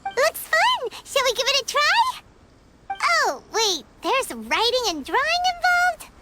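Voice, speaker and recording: A woman voicing a tiny, cheerful, greedy childlike fairy companion in an anime game, squeaky and exuberant. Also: huge pitch swings, slow, very noisy, full character voice. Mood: hesitant, chatty. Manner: refers to self in third person, exuberant. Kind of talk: anime video game voice acting. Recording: game music behind voice.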